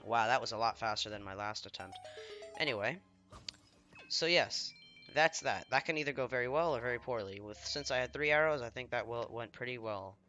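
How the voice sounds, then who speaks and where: A man with a slightly hoarse voice from feeling unwell, giving rambling, self-deprecating commentary while he plays a video game. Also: mid-range voice, fairly quick, pushed and bright, highs cut off, soft background music. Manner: rambling, self-deprecating, trailing off mid-sentence. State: slightly hoarse, under the weather. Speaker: let's play gamer. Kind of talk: casual gameplay commentary.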